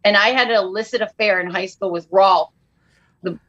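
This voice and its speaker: A woman's voice: medium pitch, a little fast, fairly bright tone, lo-fi sound. A woman comedian chatting as a podcast guest, telling stories in a dramatic, self-mocking way. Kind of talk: animated comic storytelling and chat.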